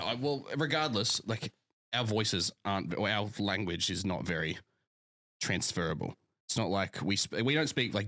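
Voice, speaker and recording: Australian man, very low voice, dry close sound, laid-back sweary podcast chat. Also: sharp tone, no room sound. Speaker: physique coach, podcast co-host.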